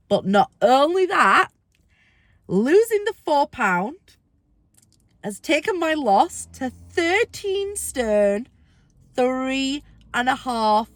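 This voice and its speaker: Woman vlogger, under the weather with a squeaky voice, casual and chatty, bursts of excitement. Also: dry recording. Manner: chatty, excitable, rambling asides. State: ill, squeaky voice. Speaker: weight-loss vlogger. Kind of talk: casual vlog to camera.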